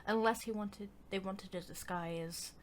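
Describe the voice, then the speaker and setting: A woman's voice: medium pitch, steady tone, a little slow, slight background noise. A woman giving casual gameplay commentary as a streamer, playful, reactive and rambling. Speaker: game streamer.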